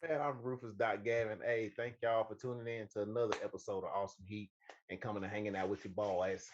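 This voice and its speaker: A man's voice: fairly low pitch, flat delivery, fast, noisy background. A man in his thirties co-hosting casually, teasing and emphatic, on slightly muffled audio. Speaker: co-host of a movie and video game show. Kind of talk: casual playful banter.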